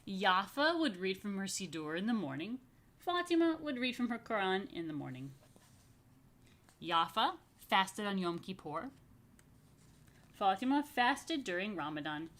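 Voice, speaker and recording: Expressive woman reading a children's story, lively pitch. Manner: expressive, slow emphatic storytelling with chatty murmured asides. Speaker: rabbi reading a picture book to families. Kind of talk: children's story read-aloud with casual asides.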